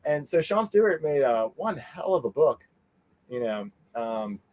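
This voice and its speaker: A man giving a casual interview as a guest, animated and meandering, often trailing off mid-sentence. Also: mid-range voice, unhurried. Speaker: interview guest, fan convention organizer. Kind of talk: casual rambling interview chat.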